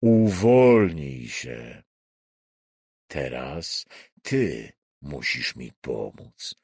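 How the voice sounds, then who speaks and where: Man voicing a low, slow, soothing torturer. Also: animated, husky, no echo, studio quality, heavily acted. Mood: commanding, slow. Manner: hushed, slow, soothing menace. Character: calm, sadistic male torturer, self-styled saviour. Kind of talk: audiobook thriller character.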